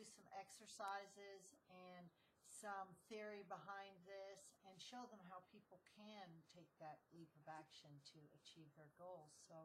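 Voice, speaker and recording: A woman hosts an interview with an enthusiastic, affirming manner. Her voice sits at a medium pitch, with faint noise in the background. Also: fairly bright tone, poor audio. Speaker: interview host. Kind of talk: interview hosting.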